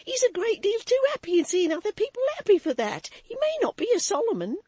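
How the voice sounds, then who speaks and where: A woman voicing an excitable young maid who talks volubly between sobs and laughs. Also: brisk, no echo, heavily acted. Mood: hysterical. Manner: shrill, sobbing, gushing.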